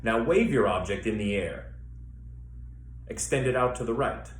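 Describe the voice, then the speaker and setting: A man working as a theater educator, guiding listeners through an exercise with measured, step-by-step instructions and pauses. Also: fairly low pitch, animated. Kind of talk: instructional demonstration, guided exercise. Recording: echoey room with faint background noise.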